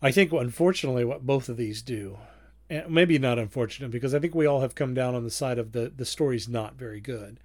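A man's voice: mid-range voice, a little fast, dry recording. A man hosting a casual review discussion, feeling his way through his points in a hesitant, circling way. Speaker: podcast host.

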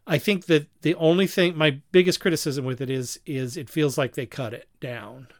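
A man's voice: on the high side, normal speed, dry recording, studio quality. A man co-hosting a podcast, chatting casually about films and repeating phrases as he thinks. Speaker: podcast host. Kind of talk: casual chat, informal film reviewing.

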